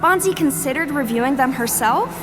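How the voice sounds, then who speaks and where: A woman's voice: high voice, animated, fairly quick. A woman narrating a scripted family adventure story in a wry, knowing manner. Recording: long reverb, music bed.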